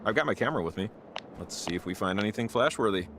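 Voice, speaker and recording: An actor playing an easygoing, skeptical companion in a mystery adventure game, casual, hedging and wry. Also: male voice, lowish voice, lively intonation, fast talker, background noise, natural voice. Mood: casual, curious. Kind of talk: naturalistic game voice acting. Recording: loud music under the voice.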